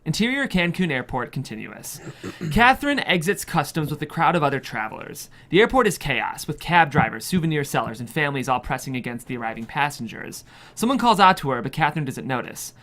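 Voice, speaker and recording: Expressive screenplay narrator with lively intonation. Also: mid-range voice, a little fast. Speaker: stage-direction narrator. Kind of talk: scripted narration of a screenplay at a table read.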